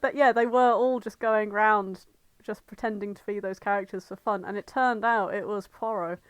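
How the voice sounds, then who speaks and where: A woman's voice: medium pitch, steady tone, normal speed, smooth, dry recording. A woman co-hosting a podcast, chatting casually in a blunt, slangy, sweary way. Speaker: podcast co-host. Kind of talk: casual chat.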